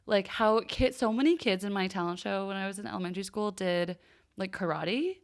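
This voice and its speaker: A woman's voice: medium pitch, brisk. A woman co-hosting a casual TV recap podcast, enthusiastic and peppering her talk with "like". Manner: uses "like" constantly, enthusiastic. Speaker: podcast co-host.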